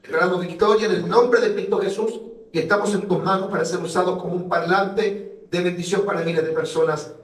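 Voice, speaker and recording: A man from Chile, a guest evangelist pastor on a radio program, speaking and praying in a solemn, measured way. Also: high-pitched, fairly even intonation. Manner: solemn, measured prayerful delivery. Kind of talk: radio guest talk and spoken prayer. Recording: roomy echo, voice distant from mic.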